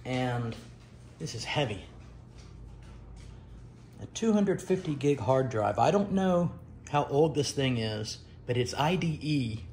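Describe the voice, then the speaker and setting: A middle-aged man giving a casual, unscripted show-and-tell, halting and pausing mid-sentence. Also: medium pitch, lively intonation, a little mellow, a little hiss or hum. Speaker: retro computer vlogger. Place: dining room at home, indoors.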